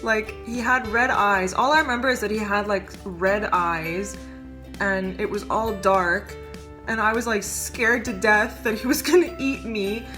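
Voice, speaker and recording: Bright-voiced chatty woman in her twenties. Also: medium pitch, unhurried, faint music behind. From United States. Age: 20s. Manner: chatty, upbeat, lots of fillers and asides. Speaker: vlogger. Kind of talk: casual talking-to-camera vlog.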